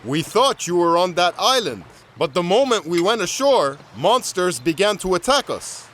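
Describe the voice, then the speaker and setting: Cartoon sailor, highish voice that swings all over, excitable. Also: male voice, moderate pace, slight background noise. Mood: earnest reporting. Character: excitable male ship crewman barking orders. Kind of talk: dubbed animated film acting.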